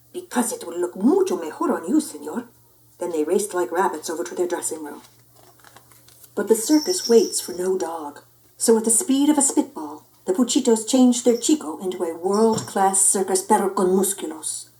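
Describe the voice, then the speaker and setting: A woman reading a children's picture book aloud in an expressive, theatrical, playful way. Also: medium pitch, moderate pace, poor audio. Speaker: storyteller.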